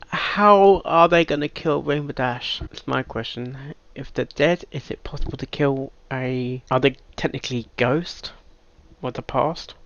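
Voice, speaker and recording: A man giving casual reaction commentary, halting and thinking aloud, with sudden louder bursts of emphasis. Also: a little high, no room sound, dull audio. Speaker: reaction video host.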